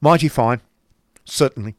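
A man's voice: mid-range voice, expressive, moderate pace, no echo, clean studio sound, pitched above the performer's own voice, close to an everyday voice. A man voicing a cold, miserly older uncle from a novel, biting and emphatic, testy and sarcastic.

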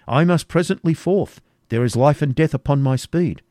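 A man's voice: on the high side, normal speed, dark tone, no room sound, clean studio sound, pitched above the performer's own voice, natural voice. A man reading the part of a proud Saxon nobleman in disguise, speaking with impatient force.

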